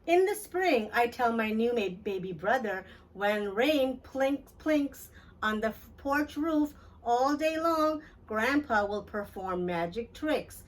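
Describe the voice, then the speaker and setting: A woman reading a children's storybook aloud in an expressive, deliberate storytelling manner for young listeners. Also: on the high side, far from the mic, poor audio. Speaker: children's book read-aloud host. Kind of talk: scripted storybook narration for children.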